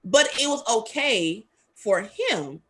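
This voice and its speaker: Candid talk-show hostess, bright voice. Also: female voice, on the high side, lively intonation, slow pace, breathy edge. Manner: candid, rambling with fillers. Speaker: host. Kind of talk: casual talk show chat.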